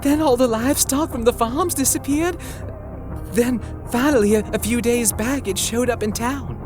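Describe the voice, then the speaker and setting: A man's voice: extremely high pitch, normal speed, voice pushed up. A man voicing a plain-spoken frontier townswoman who tells events in a level-headed, matter-of-fact way, over background music. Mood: grim.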